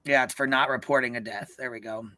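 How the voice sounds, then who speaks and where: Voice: mid-range voice, steady tone, fast, bright, forceful tone, no echo. A livestream host chatting casually about events in an emphatic, profane way. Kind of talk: casual live commentary chat.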